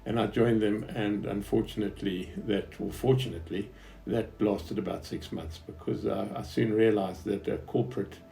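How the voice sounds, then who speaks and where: Gravelly, low-voiced older Southern African man. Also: fairly even intonation, mellow. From Zimbabwe. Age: 70s. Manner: hesitant, reflective, halting phrasing. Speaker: businessman recounting his life. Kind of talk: unscripted autobiographical storytelling to an interviewer.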